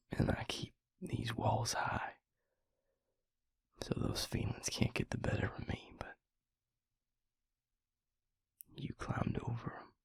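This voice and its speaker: A hushed, very breathy male vampire played by a man speaks to a lover with a guarded but tender heart. The voice crackles low in the throat, close and dry on the mic. The delivery is halting, plain-spoken and earnest, as in a romantic audio roleplay. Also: no room sound, exaggerated voice. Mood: tender, vulnerable. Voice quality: breathy, gravelly near-whisper. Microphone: close microphone in a dry room.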